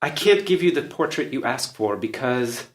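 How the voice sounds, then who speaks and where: A man's voice: mid-range voice, lively intonation, moderate pace, natural voice. A man playing a flustered, exasperated courtly duke and suitor in a play reading, politely pleading. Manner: stammering excuses, florid politeness. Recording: roomy, echoey live room.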